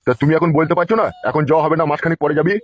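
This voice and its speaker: A man's voice: fairly high pitch, little pitch movement, rapid-fire, close to an everyday voice. A man playing a foul-mouthed, quarrelsome older village father-in-law in a comic cartoon, scolding and cursing. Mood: exasperated. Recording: dull lo-fi dubbed audio.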